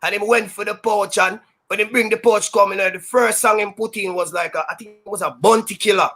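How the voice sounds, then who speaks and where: Loud, bright-voiced Jamaican man. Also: fairly even intonation, fairly quick. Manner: loud, high-energy, boastful, patois-laced storytelling. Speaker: sound system DJ, interview guest. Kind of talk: casual storytelling interview.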